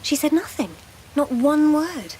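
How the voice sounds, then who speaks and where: A woman's voice: high-pitched, animated, a little slow, a little mellow, slight background noise, poor audio. An actress playing a wry court lady in a radio drama, conversational and pointed.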